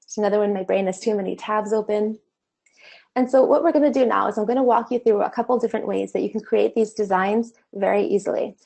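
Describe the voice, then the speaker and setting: A woman's voice: medium pitch, fast talker, gravelly, mellow. A woman teaching an online course, walking through a screen-share tutorial in a casual, conversational way, her voice a little worn. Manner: casual, conversational explaining with fillers. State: slightly losing her voice.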